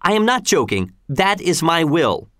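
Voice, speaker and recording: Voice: mid-range voice, sing-song, a little slow, no room sound, studio quality, voice pushed up. A narrator voicing a cruel male tyrant governor in an audiobook, mocking, commanding and falsely reasonable. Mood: stern, taunting.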